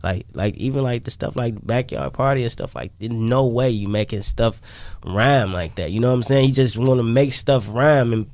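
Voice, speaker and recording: A man's voice: medium pitch, moderate pace, hardly any pauses, darkish tone, dry recording. A man chatting casually as a musician guest on a radio interview, slangy and repeating himself. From Chicago, United States. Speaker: musician guest, rapper and songwriter. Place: radio studio.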